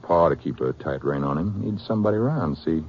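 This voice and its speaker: A gruff American sheriff of the Old West, speaks in an extremely low voice with a folksy drawl. He is a bossy older brother in a radio western. The recording is dull and noisy. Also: animated, quick pace. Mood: earnest, troubled.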